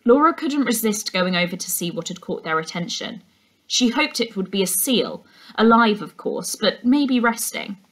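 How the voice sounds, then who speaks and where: A woman's voice: medium pitch, sing-song, moderate pace, fairly bright tone. Young woman reading a story. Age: young adult. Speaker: teacher reading aloud. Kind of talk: expressive children's storybook narration. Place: furnished indoor room.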